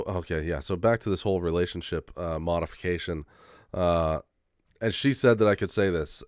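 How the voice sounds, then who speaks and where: Low-voiced man rambling casually, getting over a cold. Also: darkish tone, clear, resonant tone, no echo, clean studio sound. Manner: rambling, many fillers and restarts, casual swearing. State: recovering from a head cold, occasional cough. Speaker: vlogger.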